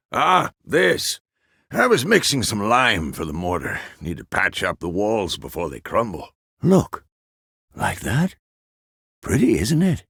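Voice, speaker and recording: Old fantasy game mentor, gruff, fatherly, weary, steadfast, deep-leaning gravelly voice, terse and authoritative, dry studio-close sound. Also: fairly bright tone, no echo, heavily acted. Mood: brisk, animated. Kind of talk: fantasy video game character dialogue.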